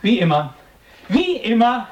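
A loving mum in a radio play, coaxing n emotional, voice goes up and down a lot. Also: female voice, a little high, big pitch swings, measured pace, roomy sound, far from the mic, lo-fi sound, a little hiss or hum. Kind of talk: German radio drama acting.

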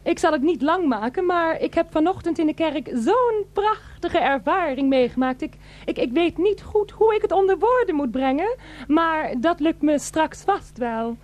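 An actress playing an eager, hesitant and devout mistress who gushes, in a satirical radio play. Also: female voice, high voice, animated, normal speed. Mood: awed, flustered.

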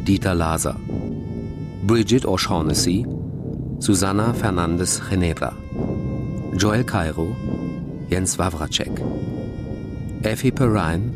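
A man's voice: low-pitched, slow, long pauses. A man reading scripted credits as the announcer of a radio play. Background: music.

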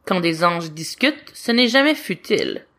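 A woman narrating a story as an audiobook reader, with expressive storytelling delivery. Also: fairly low pitch, moderate pace. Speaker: audiobook reader of fan fiction.

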